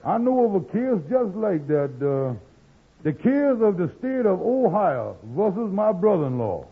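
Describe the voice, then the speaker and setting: A man's voice: sits high, very animated, unhurried, full character voice. A man acting in 1940s radio comedy, playing a bumbling, self-important schemer who stalls pompously in folksy bad grammar. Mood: pompous, musing. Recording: muffled, noisy old radio recording.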